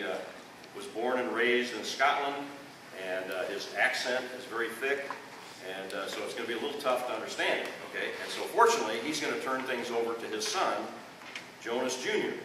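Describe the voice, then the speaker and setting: An older Midwestern man teaches a school group in a folksy, plain way, stopping to check in with the kids. He sounds distant from the mic, and his voice bounces around a very echoey room with a clear hiss of ambience. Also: medium pitch. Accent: Midwestern American. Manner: folksy, checks in with "okay?" often. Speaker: re-enactor and teacher. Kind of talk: classroom history presentation.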